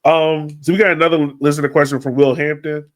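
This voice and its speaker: A man hosting a casual sports podcast, talking informally and thinking out loud as he goes. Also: fairly high pitch, level tone, quick pace. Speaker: podcast host.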